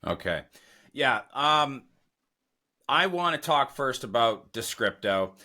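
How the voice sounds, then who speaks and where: A man's voice: mid-range voice, animated, takes it slowly, sharp tone. A man hosting a podcast, chatting enthusiastically with a guest and offering tips and asides. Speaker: podcast host. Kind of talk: casual podcast interview.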